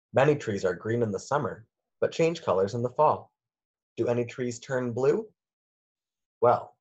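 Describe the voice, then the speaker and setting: A man's voice: lowish voice, darkish tone, husky, muffled recording. A man reading a children's picture book aloud in simple phrases, with lilting questions for young listeners.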